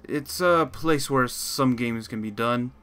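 A man's voice: mid-range voice, slow, fairly bright tone, clear, resonant tone. A man playing a confident, wry werewolf teacher in an improvised Minecraft roleplay. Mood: wry, reminiscing. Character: male werewolf mentor, confident, wry, running training games. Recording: music behind the voice.

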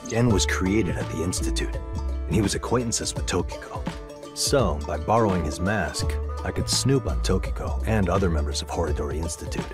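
A very deep-voiced middle-aged detective, gruff and sardonic. He delivers his game dialogue dryly and deadpan, with music clearly playing behind him. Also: moderate pace. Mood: serious. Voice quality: low. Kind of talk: dubbed video game voice acting.

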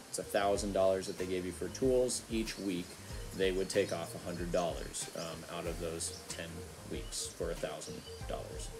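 A young adult man hosting a casual instructional video, talking to the camera about his trade. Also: sits low. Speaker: host, HVAC technician. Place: outdoor covered patio by a garden. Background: background music.

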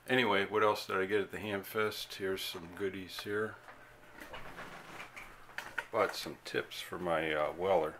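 A man's voice: deep voice, fairly even intonation, measured pace, pauses often, a bit bright, a little hiss or hum. A man narrating a casual hands-on demonstration as a hobbyist, unscripted and laid-back. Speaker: radio hobbyist host. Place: indoor home radio workbench.

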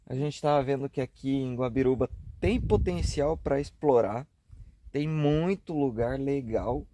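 A man in his thirties from southern Brazil, with a Rio Grande do Sul accent, chatting casually for his camping vlog. He talks in a relaxed way with frequent tag questions, recorded on a camera held at arm's length at an outdoor hilltop campsite. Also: medium pitch, unhurried, a little hiss or hum. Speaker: travel vlogger. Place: outdoor campsite on a grassy hilltop, earlier inside a car at night.

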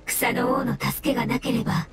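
A woman voicing a gentle, melancholy floating mushroom spirit in an anime game, with polite, solemn delivery. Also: fairly high pitch, steady tone, moderate pace, music in the background, natural voice. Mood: resigned. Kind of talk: Japanese anime game voice acting.

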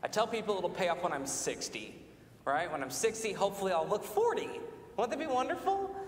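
An American man in his late thirties preaches informally in a high-pitched voice. He is self-deprecating and puts questions to his listeners. The sound is echoey, with the long reverb of a church hall. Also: very animated, brisk. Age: late 30s. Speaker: pastor. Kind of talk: conversational sermon with personal testimony. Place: large church sanctuary, speaking from the front. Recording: echoey church hall, faint rumbling noise.

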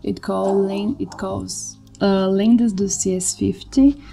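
A young woman from Brazil with a Brazilian Portuguese accent, a native Portuguese speaker, walking through her code on a screen share as a tutor in a relaxed, halting way with many fillers. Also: mid-range voice, vocal fry, soft background music. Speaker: coding tutor. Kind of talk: screen-share coding walkthrough. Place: indoor room.

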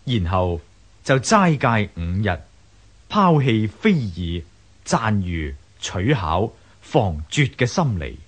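A man acting a humble, earnest woodcarver of ancient China in a radio drama, husky, measured and deferential, with a Hong Kong Cantonese accent. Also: on the low side, sing-song, exaggerated voice.

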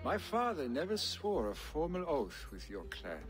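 Young Viking warrior, higher-pitched, slow solemn delivery, proud, grief-laden, game cutscene, music behind. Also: male voice, wildly swooping pitch. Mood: heavy-hearted. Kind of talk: dramatic video game acting.

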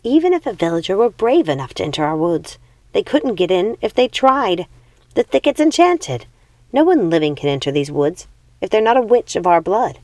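A woman's voice: mid-range voice, sing-song, normal speed, a little mellow, no room sound. A woman as an earnest, restless young witch. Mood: exasperated. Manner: earnest, insistent.

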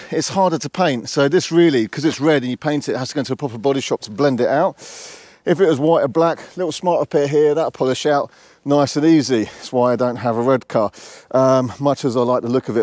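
A man's voice: mid-range voice, a little mellow, dry recording. Man, dry close sound. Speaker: car vlogger. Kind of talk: casual vlog talk to camera. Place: mostly outdoors, car parks and roadside. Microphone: lavalier with furry windshield.

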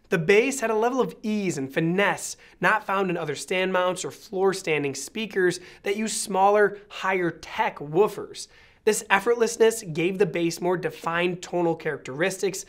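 An American man in his thirties giving a scripted review to camera with polished, articulate presenter delivery. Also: sits high. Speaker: presenter of an audio product review. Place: small indoor studio set.

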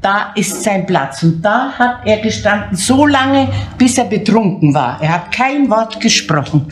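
An actress playing an observant, sympathetic bar worker, recalling things reflectively in a crime drama. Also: female voice, medium pitch, expressive, measured pace, close to an everyday voice.

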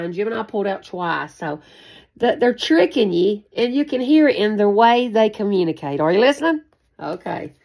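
A woman's voice: medium pitch, dark tone. Folksy woman reading tarot. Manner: rambling, repetitive, folksy, casually profane. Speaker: tarot reader hosting a spiritual channel. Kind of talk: casual improvised tarot card reading. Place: small indoor room at a card table.

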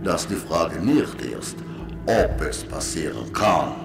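A grave, deep-voiced official. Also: male voice, expressive, roomy sound, heavily acted. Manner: slow, solemn, insistent. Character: grave male official urging action. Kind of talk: radio play acting.

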